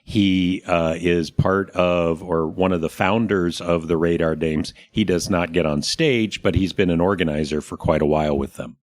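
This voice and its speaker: A man's voice: low voice, fairly even intonation, moderate pace, hardly any pauses. An American man co-hosting a podcast, talking casually about local theater and upcoming events. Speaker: podcast co-host.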